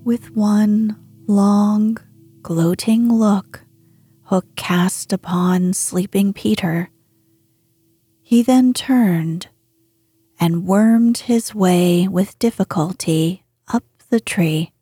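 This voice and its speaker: A woman with a breathy, hushed voice reading bedtime fiction as a podcast host, gently and with measured phrasing. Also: medium pitch, dry recording. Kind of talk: soft, soothing bedtime story reading.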